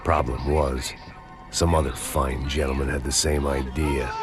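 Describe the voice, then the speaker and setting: An American man playing a weary, cynical middle-aged ex-cop antihero, narrating in a deadpan, hard-boiled noir style for a video game. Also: extremely low pitch, unhurried. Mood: grim, sardonic. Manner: deadpan, sardonic, world-weary. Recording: music playing behind the voice.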